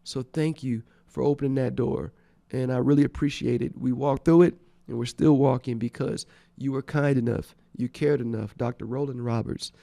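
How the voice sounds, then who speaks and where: A man's voice: medium pitch, fairly even intonation, moderate pace, gravelly, warm tone, no room sound. A congested man hosting a radio show, giving enthusiastic, rambling thank-yous in casual chat. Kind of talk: casual radio shout-outs. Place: radio studio.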